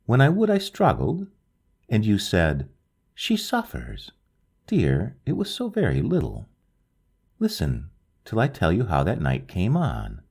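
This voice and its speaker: A man gives a slow, solemn, dreamlike reading of a literary story. His low voice carries a gravelly fry. It swoops widely between high and low notes as he reads. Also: wildly swooping pitch, a little mellow. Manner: slow, solemn, dreamlike delivery with wide swings in pitch. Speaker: audiobook narrator.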